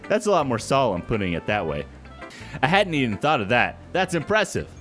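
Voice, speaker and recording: Animated man doing let's-play commentary. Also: mid-range voice, brisk. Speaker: gaming video host. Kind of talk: casual let's-play commentary and dialogue reading. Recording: game music under the voice. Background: game music.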